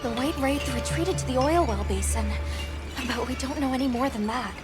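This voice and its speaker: Actress as an earnest, high-voiced field companion. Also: female voice, moderate pace, lightly acted. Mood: earnest, concerned. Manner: polite, earnest. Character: caring, earnest young woman field companion. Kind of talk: video game cutscene acting. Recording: loud music behind the voice.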